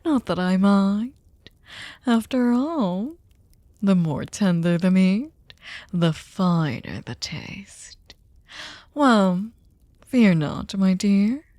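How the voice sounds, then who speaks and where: A woman's voice: mid-range voice, expressive, very slow, long pauses, warm tone, no room sound, studio quality. A woman playing a sadistic, seductive aristocratic vampire in an ASMR audio drama, teasing and mock-polite, often slipping into a whisper. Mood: mocking, amused.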